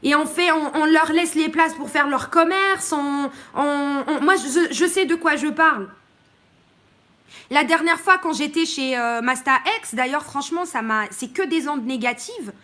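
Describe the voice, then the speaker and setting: A high-pitched woman, from Morocco, holds forth in a live-stream monologue. She speaks her mind without softening it, pausing on fillers between points and breaking off to greet viewers. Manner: outspoken and blunt, with many hesitations.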